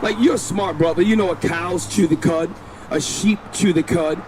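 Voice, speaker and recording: A man preaching and teaching scripture outdoors on a city street, talking forcefully and insistently and often repeating himself. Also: sits high, moderate pace. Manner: forceful, insistent, repeats himself, tells the reader when to read. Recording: noisy outdoor street recording. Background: street noise.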